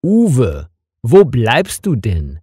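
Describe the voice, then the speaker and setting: A male narrator reads a learner story in a mellow voice. His pace is slow and his intonation moves freely, giving the scripted text careful expression. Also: mid-range voice, huge pitch swings, breathy edge, no echo, clean studio sound.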